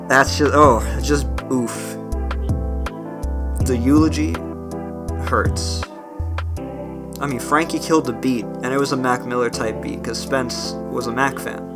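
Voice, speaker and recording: A young-sounding independent rapper, a man with a slightly gravelly strained voice, talking earnestly and rambling with restarts on a cheap home mic. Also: mid-range voice. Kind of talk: spoken outro over a beat. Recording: spoken over clear background music. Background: guitar music bed.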